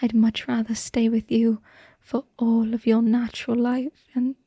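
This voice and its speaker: A woman playing a tender, melancholy vampire wife in an intimate ASMR roleplay, murmuring softly and breathily, half in a whisper. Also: a little high, level tone, breathy edge, dry recording, clean studio sound. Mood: wistful, devoted. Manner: slow, hushed, lovingly murmured.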